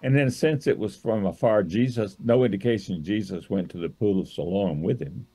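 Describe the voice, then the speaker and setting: Deep husky-voiced preacher, a man. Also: moderate pace, mellow. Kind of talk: casual Bible study discussion.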